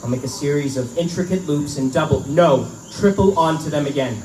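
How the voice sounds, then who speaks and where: A man's voice: on the high side, fairly quick, mellow, natural voice. A man playing an uneasy but defiant hunter who has become the prey, in a live theatrical horror drama. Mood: tense resolve. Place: live performance in a bookstore. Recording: distant, echoey live room recording with noise.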